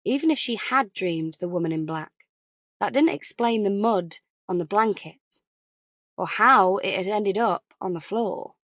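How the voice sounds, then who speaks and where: A woman's voice: medium pitch, expressive, a little slow, a bit bright, no echo, studio quality. A woman reading scripted thriller narration as an audiobook narrator.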